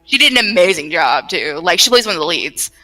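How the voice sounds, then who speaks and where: A woman's voice: on the low side, sing-song, fairly quick, recorded from a distance, a crowd in the background, low-quality recording. A woman in a casual chat about her creative projects, rambling and circling back on her words as she goes. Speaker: game developer, writer and voice actor.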